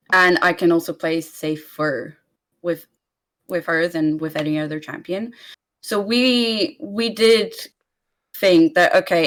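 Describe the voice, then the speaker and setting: A young woman from Bulgaria with a Bulgarian accent, a player giving a relaxed post-game interview and laughing lightly between answers. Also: on the low side. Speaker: esports player guest.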